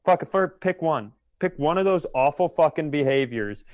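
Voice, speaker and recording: A man's voice: fairly high pitch, animated, normal speed, a little mellow, clean tone, no room sound. A man ranting casually and comedically as a podcast host, exasperated and swearing freely. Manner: exasperated, profane.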